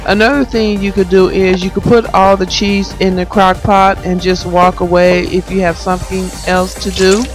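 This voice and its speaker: A middle-aged woman vlogging about cooking, her voice hoarse from a cold, chatting eagerly to viewers. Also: medium pitch, flat delivery, moderate pace, hardly any pauses, music in the background. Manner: chatty, enthusiastic. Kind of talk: casual cooking vlog. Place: parked car. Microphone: phone camera held close.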